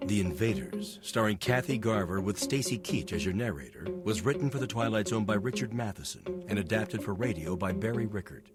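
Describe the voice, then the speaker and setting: A man's voice: lowish voice, expressive, a little fast, hardly any pauses, over loud music. A man reading a scripted announcement as the credits announcer of a radio series.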